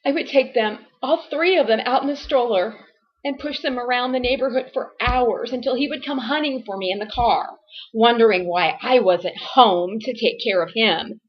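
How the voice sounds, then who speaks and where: A woman acting a distraught, abused wife and mother making a halting, emotionally strained confession in a dramatic monologue. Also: fairly high pitch, muffled recording, close to an everyday voice. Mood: anguished.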